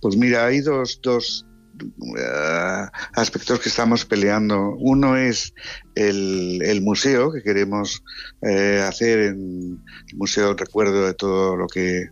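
Older man from Spain, radio interview guest, low voice, dry sound, earnest and halting. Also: no echo, highs cut off, soft background music. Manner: halting, restarts often, earnest. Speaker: guest, brother of a late singer.

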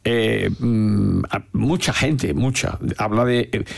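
An older man with an Andalusian accent, giving opinionated, anecdotal answers in an interview, often halting and repeating words. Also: fairly low pitch, gravelly. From Andalusia, Spain. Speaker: interview guest, veteran Andalusian politician. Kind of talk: unscripted reflective interview talk.

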